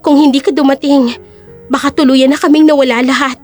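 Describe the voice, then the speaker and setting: Audio drama ingenue, female actor: tender, emotional young woman, high-pitched, faint music behind. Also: level tone, fairly quick, close to an everyday voice. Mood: grateful, remorseful. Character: grateful, tearful young female sweetheart, tender and apologetic.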